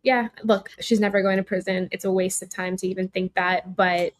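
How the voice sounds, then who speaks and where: A woman's voice: mid-range voice, fairly even intonation, normal speed. A woman co-hosting a podcast in casual chat, reacting bluntly and informally and posing hypothetical questions. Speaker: podcast co-host.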